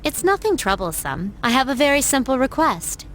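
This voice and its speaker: A woman's voice: sits high, big pitch swings, normal speed, fairly bright tone, slight background noise. Composed, self-assured young woman. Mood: cool, purposeful. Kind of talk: anime video game voice acting.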